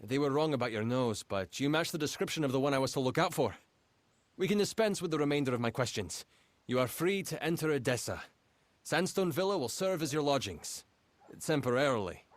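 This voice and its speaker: An actor playing an officious, self-important gate registrar in a video game, speaking in a formal, fussy manner. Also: male voice, medium pitch, animated, fairly quick, no room sound, close to an everyday voice. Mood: flustered. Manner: formal, fussy, stammers when flustered.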